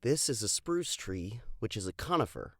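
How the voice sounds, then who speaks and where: A woman voicing a curious, practical young explorer in an expressive children's storybook read-aloud. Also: extremely low pitch, normal speed, no room sound, clean studio sound, voice pushed down, full character voice. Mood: curious, earnest. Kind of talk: dramatized children's storybook dialogue.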